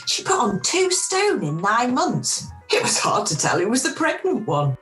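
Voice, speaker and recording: A woman's voice: a little high, huge pitch swings, moderate pace, a bit bright, soft background music. A woman playing a wry, hospitable pudding club hostess with a theatrical manner in an amateur radio play. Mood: wry, gossipy.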